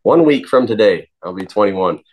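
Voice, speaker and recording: A man of twenty, a singer-songwriter, answering interview questions in a halting way with many pauses and restarts. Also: fairly low pitch, expressive. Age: 20s.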